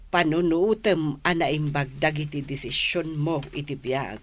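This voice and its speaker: An actress playing a patient, middle-aged mother giving counsel in an Ilocano radio drama, with an Ilocano accent. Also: on the low side.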